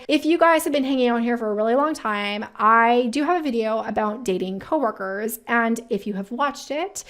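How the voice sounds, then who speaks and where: Woman, slightly high voice, casual video host, informal slangy phrasing, opinionated chatty commentary. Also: fairly bright tone. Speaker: career advice video host.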